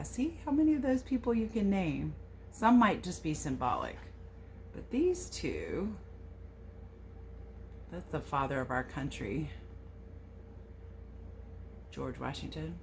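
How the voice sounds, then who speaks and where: A woman teacher reading a picture book aloud to children, expressive and sing-song, with casual questioning asides. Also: low voice, creaky, slight background noise. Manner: animated, questioning, sing-song verse delivery.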